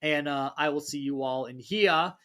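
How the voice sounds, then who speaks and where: Male fitness coach, a bit raspy from a cold; higher-pitched, casual, motivational podcast delivery. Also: normal speed, sharp tone. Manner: upbeat, pep-talk delivery. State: slightly raspy from a recent cold.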